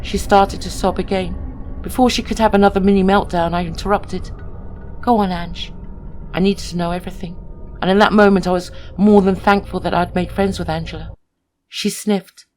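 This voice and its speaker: A woman reading a scripted first-person horror story in a measured, dramatic, suspenseful way. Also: medium pitch, steady tone, darkish tone, faint music behind, poor audio.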